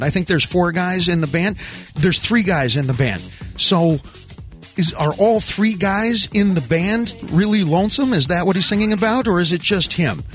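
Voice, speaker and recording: A man's voice: high voice, a bit bright. A man hosting a call-in radio show, joking and rambling while he reviews songs and tells his board operator what to play. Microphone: studio microphone.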